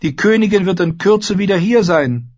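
A man's voice: sits high, lively intonation, normal speed, pitched above the performer's own voice. A man voicing a brave young noble girl in an audiobook, earnest and reassuring with a raised voice, in a dull recording.

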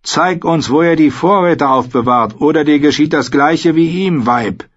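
A man's voice: fairly high pitch, moderate pace, muffled recording, pitched above the performer's own voice, lightly acted. A male narrator acts a ruthless mutineer in a fantasy audiobook. He is cold and mocking, pressing his threats in a raised, hard delivery. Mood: threatening.

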